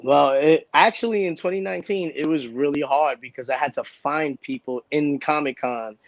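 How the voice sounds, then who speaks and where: A man's voice: on the high side, normal speed, dry recording, highs cut off. Easygoing New man, slangy. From Brooklyn, New York. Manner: relaxed, street-slang delivery. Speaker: comic creator and guest. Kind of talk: casual interview chat.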